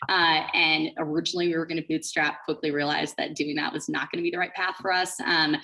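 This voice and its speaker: A woman's voice: low-pitched, little pitch movement, brisk, sharp tone. A woman co-founder giving a conversational presentation, explaining things informally with plenty of "like" and "you know". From Switzerland and the Bahamas. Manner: informal, explanatory, peppered with 'like' and 'you know'. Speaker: startup co-founder presenting as guest speaker.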